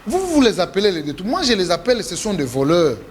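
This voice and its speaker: A Congolese politician being interviewed, speaking French with a Congolese accent, forcefully repeating and stressing his points, his voice rising when indignant. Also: big pitch swings, quick pace, a little hiss or hum. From Democratic Republic of the Congo. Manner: emphatic, repeats himself, pitch jumps when indignant. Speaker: politician guest.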